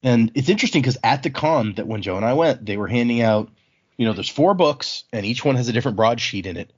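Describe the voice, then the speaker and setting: A man's voice: medium pitch, animated, fast talker, highs cut off. A man hosting a casual book discussion in an informal, chatty, digressive way. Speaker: podcast host.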